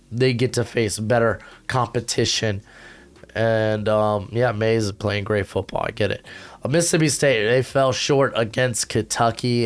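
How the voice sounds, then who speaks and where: A young male host talks through college football rankings in quick, slangy bursts, full of fillers. His voice is hoarse, yet it stays fairly clear under the strain. He speaks at a mid pitch, recording at home. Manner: energetic, slangy, rapid asides with frequent fillers. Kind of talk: casual rankings commentary to camera. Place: home recording setup with a humidifier.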